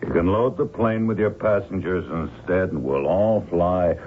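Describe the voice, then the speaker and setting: Cynical hijacker heavy: rock-bottom voice, blunt folksy slang, tinny vintage radio sound. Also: male voice, low-pitched, measured pace. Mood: coolly cynical. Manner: folksy, blunt, slangy tough-guy drawling grammar. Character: tough, cynical hired gunman taking charge of a hijacking. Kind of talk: old-time radio drama acting. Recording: tinny band-limited old radio recording, faint noise.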